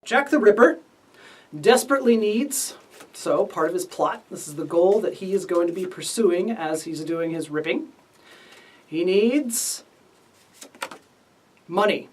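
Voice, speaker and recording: A man's voice: sits high, expressive, measured pace, long pauses, distant mic. A man hosting a casual writing lesson as the instructor. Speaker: writing instructor and series host.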